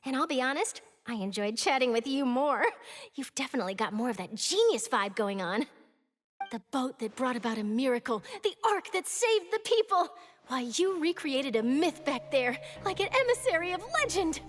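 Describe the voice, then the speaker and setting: Young female reporter, bubbly, very high voice, swooping intonation, flattering, playful, video game. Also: normal speed. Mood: effusive, flattering.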